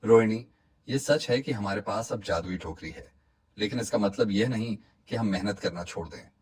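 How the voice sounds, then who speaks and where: A man playing an honest, hopeful woodcutter and family man in a cartoon moral story, speaking in an earnest, reassuring, measured way. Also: fairly low pitch, darkish tone, breathy edge. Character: honest, hopeful, hardworking poor woodcutter, husband and father.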